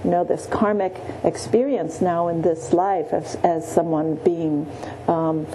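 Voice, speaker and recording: Woman meditation teacher, slow and gentle, dark mellow tone, faint background hiss. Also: on the low side, fairly even intonation, poor audio. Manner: gentle, many pauses and restarts, frequent "yeah". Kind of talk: guided Buddhist meditation teaching. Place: meditation room.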